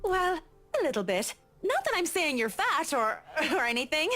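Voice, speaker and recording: A man voicing a gentle young woman who reassures a friend in a soft, soothing way. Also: extremely high pitch, sing-song, moderate pace, soft background music, voice pushed up, heavily acted. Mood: teasing.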